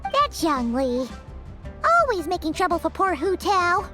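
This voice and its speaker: A woman voicing a tiny, excitable fairy companion in a video game, with a squeaky childlike voice and a bubbly manner. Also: measured pace, heavily acted. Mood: grumbling. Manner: bubbly, exclamatory. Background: music.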